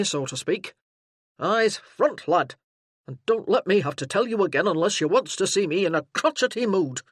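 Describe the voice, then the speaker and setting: A narrator voicing a burly, stern former army sergeant who barks orders at a prisoner. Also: male voice, fairly high pitch, dry recording, studio quality. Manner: barking, commanding.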